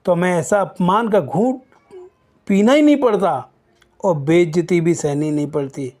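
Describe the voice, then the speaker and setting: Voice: mid-range voice, unhurried, a little mellow, voice pushed up, lightly acted. A narrator voicing a bitter middle-aged mother in a storybook tale, scolding and lamenting with insistent questions. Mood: bitter reproach.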